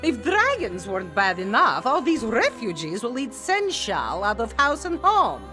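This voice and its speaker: A woman's voice: fairly high pitch, wildly swooping pitch, unhurried, over music. A woman playing a disgruntled, cynical townsperson in a fantasy video game. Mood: fed up. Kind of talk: video game character acting.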